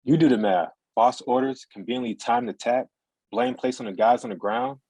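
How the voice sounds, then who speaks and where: A man's voice: medium pitch, normal speed, mellow, husky, close to an everyday voice, poor audio. A man playing a cynical, knowing security guard, terse and insinuating in a screenplay read-through. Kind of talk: screenplay table read acting.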